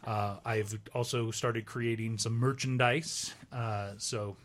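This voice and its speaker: A man co-hosts a live show at an ordinary middle pitch. He talks in a measured way, with lots of pauses and fillers, and slips into light teasing. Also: fairly even intonation, fairly bright tone. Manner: measured, hesitant with many uhs, then playful. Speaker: co-host and event organizer. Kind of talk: casual live broadcast chat.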